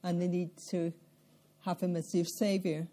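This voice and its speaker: An older Scottish woman speaks in a lowish, mellow voice. She tells her story in a measured, reflective way, taking her time between thoughts. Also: little pitch movement, slight background noise. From central Scotland. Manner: measured, reflective, with occasional soft laughs and throat clearing. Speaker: interviewee. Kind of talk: life-story interview.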